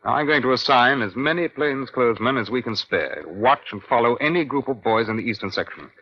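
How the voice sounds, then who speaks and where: An actor playing a senior British police inspector in an old-time radio crime drama, measured and authoritative with a dry, wry edge. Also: male voice, mid-range voice, expressive, husky. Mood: brisk, resolute. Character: male senior Scotland Yard police inspector, brisk and wry. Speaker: narrator and lead detective. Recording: dull, lo-fi vintage radio recording.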